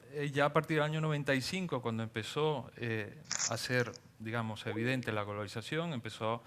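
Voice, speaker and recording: A man, speaking as a guest on a panel and explaining at a measured pace with many fillers. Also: mid-range voice, a bit bright, slight background noise. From Venezuela. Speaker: panel guest, engineer and entrepreneur. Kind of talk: panel talk.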